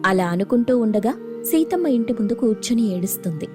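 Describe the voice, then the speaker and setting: A woman's voice: fairly high pitch, expressive, fairly quick, over music. A woman narrating a scripted dramatic moral story.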